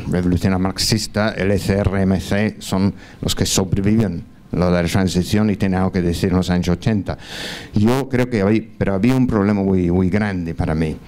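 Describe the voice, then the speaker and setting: A man in his sixties speaks from the floor of a large lecture hall, holding a handheld mic. His voice sits low, and his Spanish, not his first language, stumbles along with frequent fillers and restarts. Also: dark tone. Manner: hesitant, many fillers and restarts. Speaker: audience member at a conference. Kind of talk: question from the floor to a panel. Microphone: handheld mic, standing in the audience.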